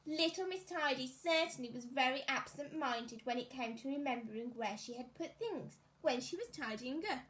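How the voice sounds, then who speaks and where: A woman teacher reading a children's story aloud, expressively and deliberately, as if to young pupils. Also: high voice, a bit bright.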